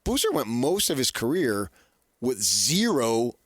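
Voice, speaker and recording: A man's voice: medium pitch, big pitch swings, unhurried, no echo, clean studio sound. A man hosting sports radio in an unscripted style, often pushing his points with repeated rhetorical questions. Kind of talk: unscripted sports talk commentary.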